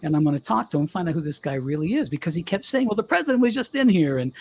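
An older man, a former radio DJ, telling stories in a chatty, animated way with a young-sounding voice. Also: a little high, rapid-fire, a little hiss or hum. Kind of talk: casual storytelling interview.

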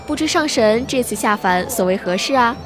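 A woman's voice: fairly high pitch, expressive, moderate pace, over music, lightly acted. A woman playing a playful immortal in a fantasy audio drama, arch and teasing with mock reverence.

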